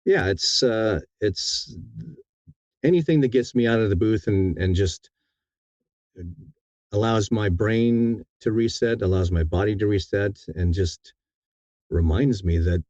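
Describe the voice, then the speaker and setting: A man with a deep, dark voice chats casually as an interview guest. He rambles and corrects himself, sounding keen on what he does. The recording is dry and a little dull. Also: takes it slowly, long pauses, no echo. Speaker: audiobook narrator being interviewed.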